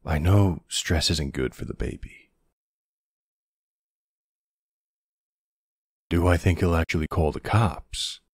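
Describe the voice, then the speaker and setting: A man playing a protective, gruff but tender older boyfriend in an ASMR audio roleplay, unhurried and plain-spoken, with a husky, gravelly voice. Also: low-pitched, dry recording, clean studio sound. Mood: reassuring.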